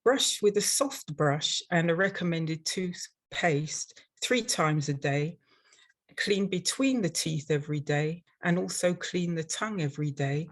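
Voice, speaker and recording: An older woman from London with an English accent, giving a prepared webinar presentation in a measured, instructional way as a dental professional. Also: lowish voice. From London, England.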